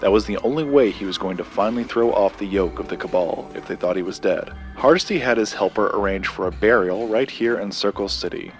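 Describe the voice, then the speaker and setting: A man acting in an audio drama as a secretive collector, recounting a dark legend in a measured, deliberate way with dramatic pauses. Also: on the low side, faint music behind, lightly acted. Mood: measured, confiding.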